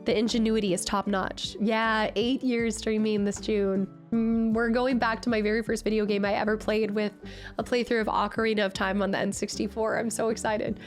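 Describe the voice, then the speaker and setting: A woman streamer chatting casually with her viewers, her voice nasal and raspy from a cold, bubbly and excitable. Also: medium pitch, normal speed, soft background music. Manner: bubbly, excitable, greets chat often. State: recovering from a cold. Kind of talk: casual live-stream chat.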